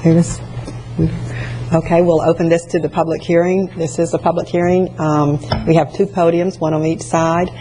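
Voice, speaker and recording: Low, flat-voiced woman chairing a meeting. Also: normal speed, poor audio. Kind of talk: formal procedural announcements. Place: public meeting chamber. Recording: faint background noise.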